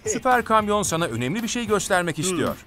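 High, animated male cartoon narrator, encouraging; loud music underneath. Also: fast talker. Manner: encouraging, talks to the truck and little cars. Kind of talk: cheerful scripted cartoon narration.

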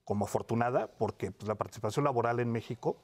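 Male researcher, fifties, mid-range pitch, searching delivery, panel interview on lapel mic. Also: a little mellow. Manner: hesitant, halting, self-correcting. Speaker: academic researcher, studio guest. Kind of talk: televised panel interview, explanatory. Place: television studio panel desk.

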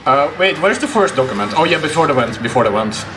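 A man's voice: a little high, lively intonation, quick pace. A man in his twenties giving casual, exclamatory live gameplay commentary and talking to his chat. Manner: reactive, exclamatory, talks to chat. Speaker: game streamer. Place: small home room, indoors. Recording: clear background game noise.

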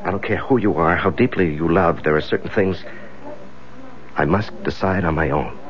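An actor playing a troubled, evasive married lawyer in a 1970s radio drama, speaking hesitantly. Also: male voice, deep voice, natural voice. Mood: uneasy, evasive. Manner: hesitant, halting. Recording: muffled 1970s radio recording, music behind.

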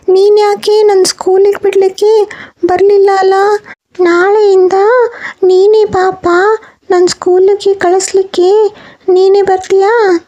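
A woman's voice: very high-pitched, warm tone. A woman voicing a small, demanding, chatty schoolgirl in a children's cartoon story, with whiny, pleading, hesitant childlike phrasing.

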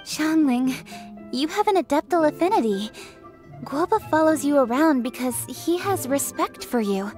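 A woman's voice: sits high, expressive, normal speed, darkish tone, soft background music. An actress voicing a composed young noblewoman in a video game, polite and formal. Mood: respectful.

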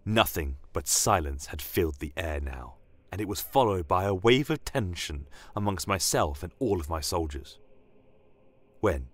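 A man playing a solemn, defiant horned army commander, telling a dramatic fantasy story in a grave, measured manner. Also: low-pitched, expressive, no room sound. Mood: grave, haunted. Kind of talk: dramatic first-person fantasy audio story.

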